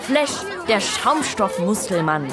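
A swaggering child bully in a radio drama, taunting at a slow pace. The voice is fairly high and animated, over loud music and a crowd. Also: female voice. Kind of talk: German children's radio play.

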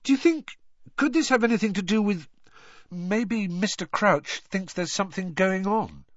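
A polite young schoolboy wizard, put on by a male audiobook reader, tentative and curious. The pitch sits high and moves around a lot. The voice has a slightly husky grain and the halting manner of a shy storybook boy. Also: lively intonation, no echo, highs cut off. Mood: curious, nervous. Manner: hesitant, tentative. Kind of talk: audiobook character voice.

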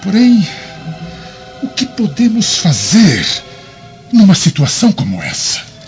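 A troubled Roman governor of radio drama. The voice is gravelly and solemn, declaiming with indignation at injustice. The recording is dull with faint music. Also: male voice, high voice, wildly swooping pitch, slow, heavily acted. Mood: sorrowful, grave.

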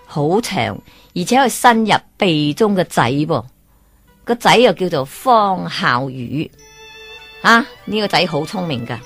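A woman with a Hong Kong Cantonese accent telling a folk tale in a lively, exclaiming storyteller manner. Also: on the low side.

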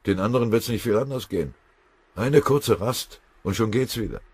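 A man's voice: deep voice, normal speed, a little mellow, breathy edge, dry recording. A man voicing an exhausted, bitter soldier who pleads to rest and snarls at his leader. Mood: pleading.

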